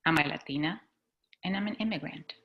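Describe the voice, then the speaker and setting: A woman from Chile, a school superintendent, giving an earnest, measured personal talk on a video call. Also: deep voice, dull audio. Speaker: executive superintendent. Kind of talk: personal speech at a community board meeting.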